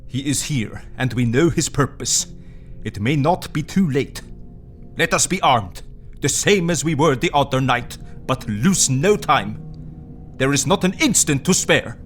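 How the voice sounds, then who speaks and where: Grave old dutch professor in audio drama, commanding and emphatic, mid pitch, faint music behind. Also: male voice, animated, a little slow, a bit bright. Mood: urgent, commanding.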